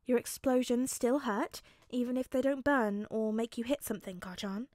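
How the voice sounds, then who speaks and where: Lady reading as a kind teen boy, soft n careful, patient, story narration, light high-ish voice, very dry. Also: moderate pace, no echo, lightly acted. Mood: concerned. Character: gentle, patient teenage boy, a hero student.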